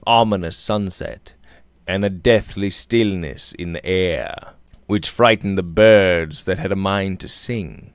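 A man's voice: fairly low pitch, dry recording. A man in his thirties reading literary fiction aloud in a theatrical, old-fashioned storytelling manner, close on a microphone. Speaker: audiobook reader. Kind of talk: scripted literary narration. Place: small room indoors. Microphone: close condenser mic on a boom arm.

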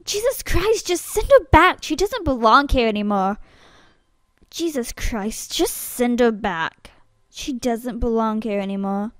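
A woman's voice: on the high side, unhurried, fairly bright tone, smooth, dry recording, clean studio sound. A woman acting a scornful, hot-tempered young woman in an amateur audition for a drama series, accusing and emphatic. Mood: exasperated.

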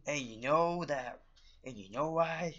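Teen male vlogger with Filipino accent, unhurried halting delivery, lo-fi webcam sound. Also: a little high, fairly bright tone. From Philippines. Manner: rambling, halting, many fillers and restarts. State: feeling unwell, voice off. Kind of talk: casual talk to camera.

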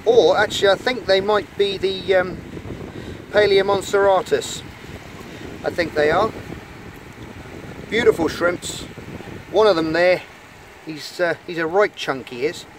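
A higher-voiced, middle-aged man chats enthusiastically while showing small sea creatures at a rock pool. He talks casually into a hand-held camera outdoors. Faint wind and water noise sit behind his voice. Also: poor audio. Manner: chatty and enthusiastic, quietly talking while handling creatures. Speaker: channel host. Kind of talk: casual nature vlog narration. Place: rocky beach rock pools outdoors. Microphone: camera held in hand at the shore.

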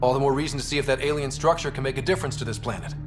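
A man's voice: medium pitch, rapid-fire, over music, natural voice. A man playing a young space explorer in a video game, a casual and determined leader. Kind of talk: scripted video game dialogue.

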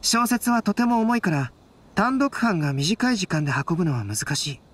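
A woman voicing a calm, analytical young man in an anime game, composed and measured. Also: lowish voice, husky. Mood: calm reasoning. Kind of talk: Japanese anime game voice acting. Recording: background music behind voice.

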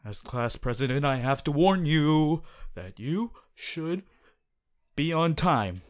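A man's voice: on the high side, lively intonation, takes it slowly. A man voicing a strict, earnest teenage class president, formal and preachy, in an audio roleplay. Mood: stern, formal. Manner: formal, preachy, exasperated, stiff phrasing. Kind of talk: ASMR character audio roleplay monologue.

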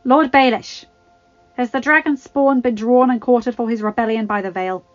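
A woman's voice: fairly even intonation, moderate pace, highs cut off, soft background music, lightly acted. A woman barking as a petulant boy king. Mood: imperious. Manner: barking, shrill demands. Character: young male king, petulant and tyrannical.

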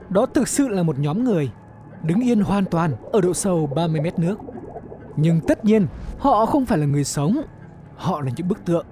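A man narrating an animated explainer series as its host, in a scripted storytelling style. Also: high-pitched. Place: voice-over recorded close, with music behind.

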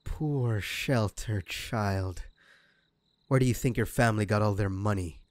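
A man's voice: lowish voice, measured pace, darkish tone, no room sound, natural voice. A man playing a smugly menacing, vengeful crime villain in an audio roleplay, with a mocking, theatrical delivery. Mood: bitter, menacing. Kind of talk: scripted ASMR audio roleplay.

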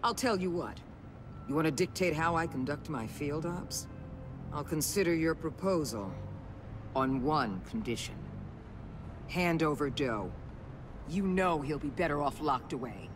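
A middle-aged agency boss in a video game, hard and defiant. Her delivery is cutting and authoritative, with music clearly behind it. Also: sits low, lively intonation, a little slow, pauses often. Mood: cold, unyielding.

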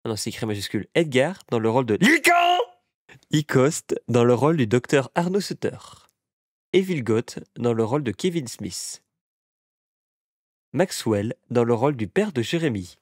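A man announces scripted credits in a medium-pitched voice. His intonation is very lively, and the close recording is dry, with no room sound. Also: moderate pace, no echo.

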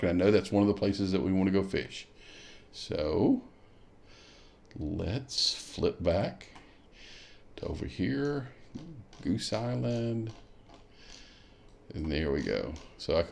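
A man's voice: sits low, creaky, a little mellow. A man hosts a kayak fishing show, walking viewers through a map on screen and thinking aloud as he clicks. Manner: thinking aloud, musing with hmms while clicking. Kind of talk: screen-share tutorial walkthrough.

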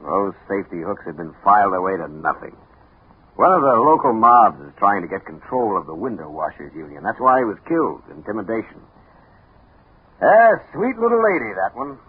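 A man's voice: on the low side, sing-song, moderate pace, slightly rough, full character voice. A man playing a tough, sardonic police captain in a 1950s radio drama. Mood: sardonic, weary. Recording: tinny 1950 radio recording.

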